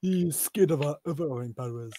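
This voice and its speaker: A man's voice: a little high, fairly quick, mellow, a little hiss or hum. A man chatting and joking with friends over voice chat while they play an online battle game. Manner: joking, laughing along. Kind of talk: casual gaming voice chat.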